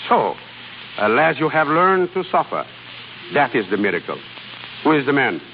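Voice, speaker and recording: A man playing an excitable, fatherly opera mentor in a theatrical, exclamatory 1930s radio style. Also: mid-range voice, moderate pace. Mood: concerned, probing. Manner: theatrical, exclamatory, swinging from hushed to emphatic. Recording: muffled noisy 1930s radio broadcast, long reverb.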